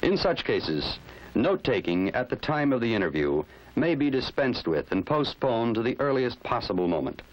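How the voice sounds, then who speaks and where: A man's voice: medium pitch, very animated, normal speed, low-quality recording. A man with an American accent reading scripted instructional narration in a formal, didactic manner. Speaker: training film narrator.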